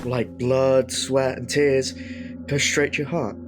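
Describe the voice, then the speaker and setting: A man's voice: medium pitch, level tone, takes it slowly, over music, lightly acted. An actor playing a blunt young man in Victorian London, in a period audio drama. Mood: knowing, sardonic.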